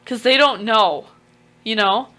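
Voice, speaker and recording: A woman's voice: fairly high pitch, slow pace, bright, forceful tone, low-quality recording. A young woman co-hosting a radio show in casual chat, musing aloud and filling her thoughts with "like". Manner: musing, lays out ideas with many "like"s.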